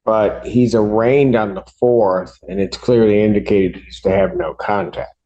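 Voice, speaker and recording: A man speaking as a judge, handing down rulings from the bench in a deliberate, halting official way. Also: fairly low pitch, steady tone, warm tone. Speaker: judge presiding over a court hearing. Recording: dull lo-fi courtroom audio.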